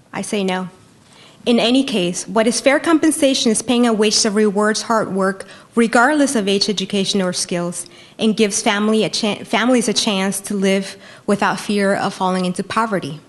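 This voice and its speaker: Woman from Peru, debater at a lectern, earnest and emphatic, mid-pitched voice. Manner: earnest, emphatic, repeating key phrases. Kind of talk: prepared debate speech. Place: university lecture hall.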